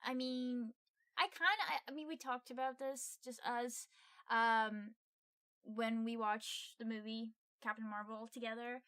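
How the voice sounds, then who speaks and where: A woman's voice: fairly high pitch, steady tone, a little slow, pushed and bright, smooth. A woman co-hosting a film podcast, chatting casually as she reacts and adds her opinions. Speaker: podcast co-host. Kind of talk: casual chat, reacting and agreeing.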